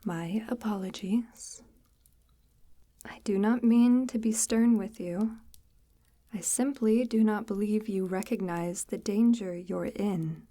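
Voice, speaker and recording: A sinister forest witch, acted by a woman in an intimate audio roleplay, speaks extremely slowly in a mellow, breathy half-whisper. She is formal and deliberate, devout about her woods and condescendingly tender toward her captive. The sound is close and dry. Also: mid-range voice, dry recording. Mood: stern, controlled. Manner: formal, measured, deliberate, gently patronizing. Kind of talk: ASMR audio roleplay, slow intimate monologue.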